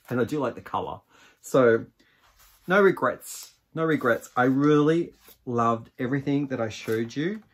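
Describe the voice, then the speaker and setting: Man in his forties, medium pitch, slow pace, casual craft vlog, musing delivery, small indoor room. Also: breathy edge. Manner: unhurried, musing, thinking aloud. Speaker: yarn vlogger, host. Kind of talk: casual product review chat to camera.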